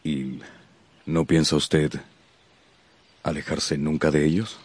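Deep-voiced, proud, reserved gentleman suitor. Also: little pitch movement, husky, full character voice. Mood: awkward, probing. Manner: formal, halting. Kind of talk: radio drama acting.

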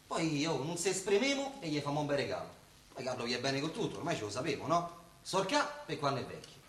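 A man's voice: on the high side, very animated, quick pace, a bit bright. An actor playing an eager, chatty, naive Roman working-class man in a dialect radio comedy-drama. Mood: eager, persuasive. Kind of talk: naturalistic stage-style radio drama acting in dialect. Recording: roomy echoing sound, faint noise.

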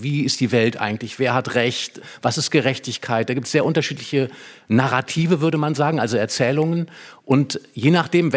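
Discursive man explaining as expert guest. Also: mid-range voice. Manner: discursive, explanatory, long winding sentences. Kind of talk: explanatory radio conversation about literature.

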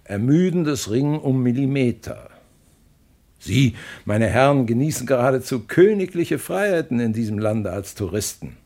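Casting: worldly male diplomat, dismissive lecturer, husky and creaky, radio play. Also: medium pitch, a little mellow. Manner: emphatic, lecturing.

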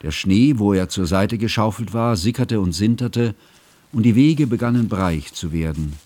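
A man playing a grave, scholarly friend who narrates a composer's tragic life in a radio play, with solemn, deliberate, ornate phrasing. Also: fairly low pitch, animated, darkish tone. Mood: measured recounting.

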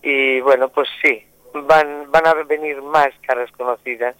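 Male interview guest, halting and repetitive delivery, dry close sound. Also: mid-range voice, fairly even intonation, fairly bright tone, clear, resonant tone, no room sound, rough recording. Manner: hesitant, repeats words and restarts. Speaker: guest organizer of a rural gathering. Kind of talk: radio interview.

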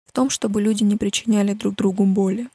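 A woman's voice: mid-range voice, steady tone, fast, warm tone, breathy edge, no echo. A woman voicing a reserved, sombre teenage girl in an audiobook, terse and guarded. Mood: gloomy, terse.

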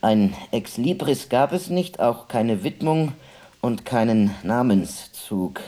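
Reflective guy remembering childhood, radio play monologue, really slow and soft mellow voice, keeps musing and correcting himself. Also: medium pitch, expressive. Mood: wry reminiscence. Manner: halting, musing, self-correcting. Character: reflective man recalling his childhood on a colonial farm.

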